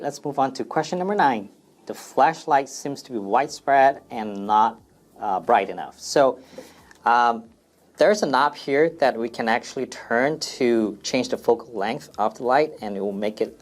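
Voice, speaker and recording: A man presenting an informal product FAQ demonstration in a plain, step-by-step instructional way. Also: fairly low pitch.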